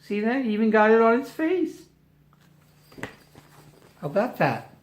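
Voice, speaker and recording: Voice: mid-range voice, big pitch swings, unhurried. An older person reading a storybook aloud to a baby, affectionate and playful, with chatty asides and emphatic delivery. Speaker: family member reading to a baby. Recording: roomy home recording with echo.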